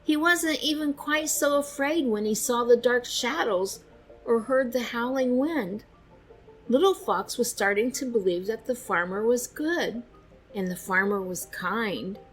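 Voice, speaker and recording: A woman reading a children's storybook aloud in an expressive, deliberate storytelling style, close on a studio microphone. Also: a little high, rough recording. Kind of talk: expressive read-aloud narration. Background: faint music.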